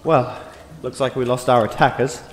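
A man's voice: mid-range voice, fairly even intonation, moderate pace, natural voice. A man playing a prim, indignant, grudging adventurer with formal, exasperated phrasing in a comedic fantasy audio drama. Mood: resigned. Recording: echoey reverberant room with some noise.

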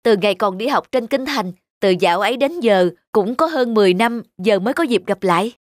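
An actor voicing a poor, kind-hearted, emotional scholar in an animated morality tale. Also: medium pitch, brisk, no room sound, clean studio sound, natural voice. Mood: rueful, friendly. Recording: background music throughout.

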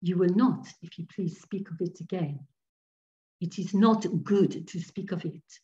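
Timid little old priest, gentle and formal, higher and mellow, as a woman reads him, dull lo-fi sound. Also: lively intonation. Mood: hushed, uneasy. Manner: gentle, halting, formal phrasing. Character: timid kindly little elderly Breton priest.

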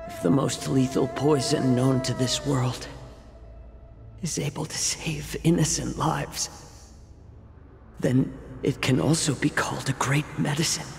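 A weary fox-eared healer in a fantasy game, stern but ready to give himself up. His mid-pitched delivery is slow, measured and grave. It rings with long reverb over music. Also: fairly even intonation, vocal fry. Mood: weakened, grave resolve. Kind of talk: dramatic game voice acting.

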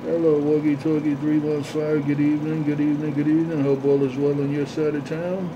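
Male CB operator, level pitch, repetitive call-sign patter, clear background noise. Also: flat delivery, brisk. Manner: repetitive, chanting catchphrases. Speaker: CB radio operator. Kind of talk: CB radio chatter with call signs and repeated catchphrases. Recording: noisy, dull CB radio audio.

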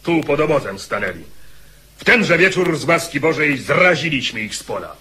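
An actor playing an excited, raspy soldier reporting from a war camp in a radio play. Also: male voice, a little high, normal speed, exaggerated voice. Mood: excited, forceful. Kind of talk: radio drama acting.

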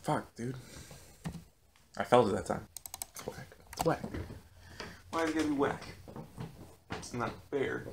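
A young man with a low, monotone voice, chatting casually and self-critically in a behind-the-scenes vlog from his bedroom, swearing often. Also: measured pace, gravelly, long pauses, echoey room, background noise. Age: young adult. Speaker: rap battle creator. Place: small bedroom. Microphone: studio condenser mic.

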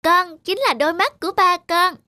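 Very high-pitched little cartoon girl played by a woman. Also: steady tone, normal speed. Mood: eager. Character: caring, eager little girl guiding her blind father. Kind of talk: cartoon dubbing.

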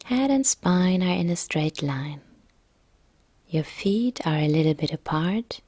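A woman yoga nidra instructor narrating a guided relaxation meditation in a calm, soft, gentle, breathy way. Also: on the low side, slow, no echo.

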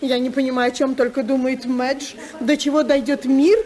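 An actress playing a fussy, disapproving older society matron in a 1950s radio play. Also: female voice, high voice, little pitch movement, normal speed, warm tone, close to an everyday voice. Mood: fretful, fussy. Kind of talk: 1950s radio drama acting.

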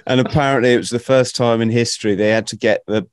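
A middle-aged man hosting a podcast, swapping stories in relaxed, jokey banter and laughing often, recorded on a studio mic at home. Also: medium pitch, quick pace, smooth, no echo. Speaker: podcast host. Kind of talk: casual interview chat. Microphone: studio mic with headphones.

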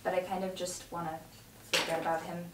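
Wistful teen girl for web drama, young woman acting, slightly low voice, natural read, distant mic. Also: level tone, fairly quick, close to an everyday voice. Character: Maya, wistful teenage girl before prom. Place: home dining room, around a table. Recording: roomy, distant camera mic, loud noise.

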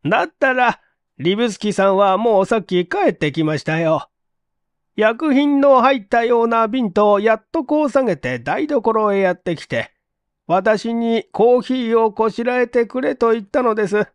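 A man voicing a talkative, matter-of-fact married landlady with brisk, chatty speech in a story reading. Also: extremely high pitch, lively intonation, clean tone, dry recording, pitched above the performer's own voice. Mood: puzzled, explaining.

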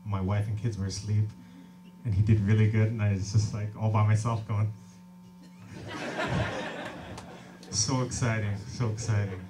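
A man in his thirties talking casually and warmly between songs at a small club gig, relaxed and self-deprecating, laughing often. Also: on the low side, moderate pace, long pauses, noisy background. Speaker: singer-songwriter and label owner. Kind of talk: stage banter between songs. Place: small crowded club venue, indoors. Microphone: stage vocal mic on stand, recorded from audience. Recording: distant live room recording. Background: acoustic guitar, audience.

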